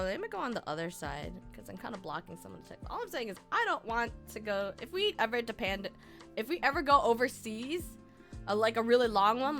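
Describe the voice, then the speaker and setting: A woman's voice: medium pitch, pushed and bright. A young woman streamer with a slightly scratchy throat, chatting casually and giggling with her live chat. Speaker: VTuber streamer. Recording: music playing behind.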